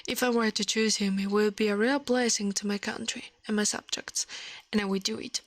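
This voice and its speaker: A woman's voice: medium pitch, fairly even intonation, brisk, no echo, natural voice. Woman reading as a gracious, credulous storybook princess. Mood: admiring. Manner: gracious, regal. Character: proud, credulous royal princess.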